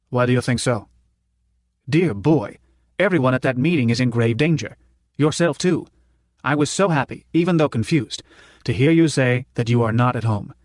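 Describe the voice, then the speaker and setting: A man's voice: medium pitch, dry recording, studio quality, close to an everyday voice. A man doing a courtly, fretful old professor. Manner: warm, halting, fussy old-world politeness. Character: older male professor, gentle, courtly, fretful.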